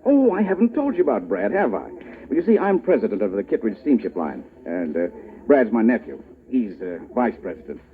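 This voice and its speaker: A man's voice: on the low side, very animated, a little fast. An actor playing a genial, bumbling wealthy businessman in a 1940s radio drama, chuckling and hesitant, with a jovial manner. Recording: tinny lo-fi old radio broadcast.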